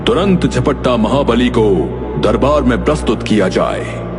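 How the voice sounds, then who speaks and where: A man's voice: mid-range voice, lively intonation, measured pace, lightly acted. A man playing a stern, imperious king in a cartoon, with a commanding, pompous delivery. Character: stern, imperious king who threatens his servants. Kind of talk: Hindi cartoon voice acting. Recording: long hall reverb, loud music behind.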